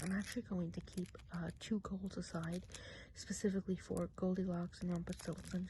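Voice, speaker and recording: Gentle witch of the woods, played by a woman, lowish soft voice, whispery ASMR delivery, confiding and unhurried, over clearly audible background noise. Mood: confiding, amused. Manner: hushed, unhurried, chatty, often trailing off. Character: kindly Christmas witch of the woods, gentle and chatty. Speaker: ASMR role-play performer. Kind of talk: soft-spoken and whispered ASMR role play.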